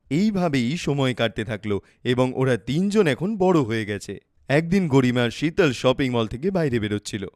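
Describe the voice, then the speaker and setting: A man's voice: on the high side, darkish tone, dry recording, clean studio sound. A man narrating a scripted cartoon story as the narrator.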